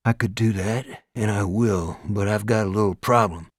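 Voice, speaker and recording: A man voicing a timid, bookish young male inmate who speaks quietly and carefully. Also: fairly low pitch, moderate pace, slightly rough, no echo, studio quality.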